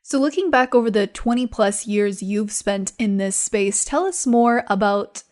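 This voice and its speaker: A woman's voice: medium pitch, a little slow, a little mellow. A woman hosting a podcast, reading a polished introduction and asking the guest questions. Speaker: podcast host. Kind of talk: scripted podcast interview.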